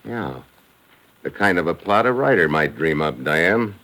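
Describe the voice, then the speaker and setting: An actor playing a cool, laconic, wry investigator in a 1950s radio drama, with a deadpan delivery. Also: male voice, sits low, unhurried, heavily acted. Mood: cool, assured. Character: cool, wry tough-guy investigator hunting a culprit. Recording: noisy old radio recording.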